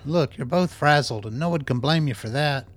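An actor playing a weary, anxious research scientist in a radio drama, dry and conversational. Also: male voice, fairly high pitch, moderate pace, close to an everyday voice. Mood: tired, reassuring.

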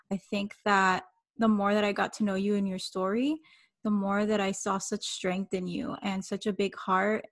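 A woman from Miami hosting her podcast as a coach, greeting her guest with effusive enthusiasm. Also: mid-range voice. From Miami, Florida. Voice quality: effusive, warm praise for guest. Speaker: podcast host, mindset and business coach.

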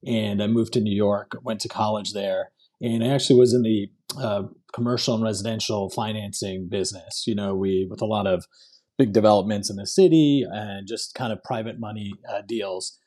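A man's voice: lowish voice, steady tone, normal speed, dark tone. A man from Hong Kong speaking as an interview guest, telling stories in a casual, conversational way. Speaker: podcast guest, entrepreneur.

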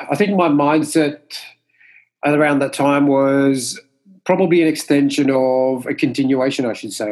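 A man in his forties from Mauritius, whose first language is Creole, giving thoughtful interview answers with many pauses and fillers. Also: mid-range voice, a little mellow, low-quality recording. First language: French Creole. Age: 40s. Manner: measured, with many fillers and restarts. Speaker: martial arts instructor, guest.

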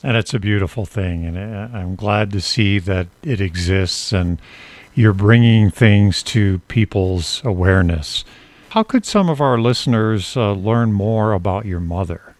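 A man's voice: on the low side, a little slow, a little mellow, dry recording. A man hosting an interview, asking questions in a conversational style. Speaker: interview host.

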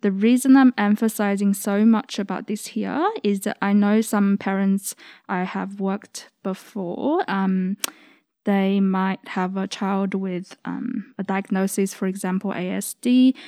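Vrouw met warme, zachte stem. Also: female voice, medium pitch, flat delivery, dry recording, studio quality. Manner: earnest, measured, slightly non-native grammar. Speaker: speech pathologist, co-host. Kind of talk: explaining, informative podcast talk. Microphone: studio microphone.

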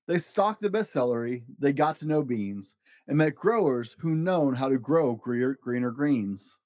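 A picture book reader narrating a rhyming children's story in an animated, expressive style. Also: mid-range voice, a little slow, dry recording.

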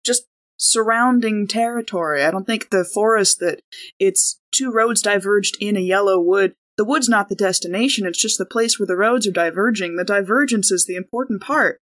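A woman's voice: medium pitch, moderate pace, a bit bright. A woman playing a tabletop game, talking through options in an informal, hedging, conversational way. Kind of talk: casual in-character tabletop discussion.

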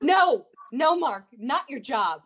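A woman plays a bossy female news anchor in improvised sketch comedy. Her high voice is curt, scolding and exasperated. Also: very animated, slow, exaggerated voice.

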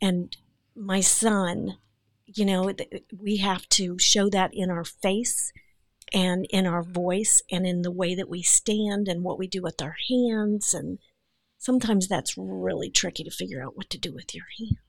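A woman, an actress, speaking in a podcast interview, animated, emphatic and earnest. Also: medium pitch, unhurried, vocal fry, dry recording.

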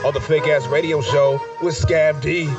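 A man's voice: a little high, steady tone, normal speed, music in the background. A man hosting a casual show, slangy and enthusiastic, sounding nasal and stuffed up with a cold, repeating himself for emphasis. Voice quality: nasal, congested. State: sick with a stuffy nose. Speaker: radio show and podcast host. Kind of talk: casual chat. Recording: distant mic, dull lo-fi sound.

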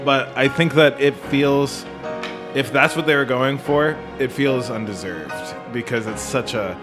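A man's voice: mid-range voice, measured pace. A man in a casual music discussion, talking in a rambling way and often checking for agreement with "right?". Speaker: podcast co-host.

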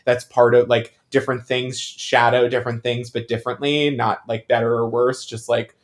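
A man's voice: mid-range voice, level tone, moderate pace. A man co-hosting a podcast, chatting casually about pop culture in an emphatic, joking way. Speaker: podcast co-host.

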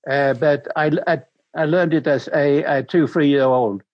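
An older man from Sweden with a Swedish accent, speaking English as a non-native and recounting his life in a halting way, repeating words as he searches for them. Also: fairly high pitch, steady tone, no room sound, highs cut off. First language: Swedish and Danish. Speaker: interview guest.

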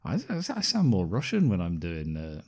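A man with a notably deep, low voice talks through a film's plot in a casual podcast chat. He keeps slipping in wry, sarcastic asides. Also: wildly swooping pitch, moderate pace, a little mellow. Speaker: podcast co-host. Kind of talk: casual film review chat.

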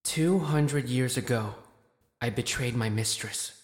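A man playing a curious, bookish scholar who mutters to himself with expressive reactions in an audio drama. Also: medium pitch, takes it slowly, dry recording, clean studio sound. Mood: hushed, solemn.

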